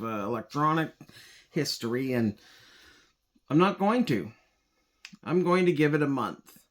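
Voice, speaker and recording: A middle-aged man talking casually and unscripted in a home vlog, halting and rambling as he thinks aloud. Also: medium pitch. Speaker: hobbyist electronics recycler and video host. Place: indoors at a home coffee table.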